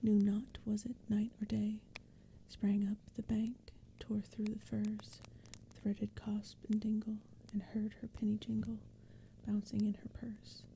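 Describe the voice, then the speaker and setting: Female ASMR poetry reader: breathy whisper, slow, gentle and even delivery, faint fire and forest ambience. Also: medium pitch. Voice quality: breathy whisper, mostly unvoiced. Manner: gentle, unhurried, even storytelling. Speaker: ASMR narrator reading poetry. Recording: steady background ambience noise. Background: crackling fire and forest sounds.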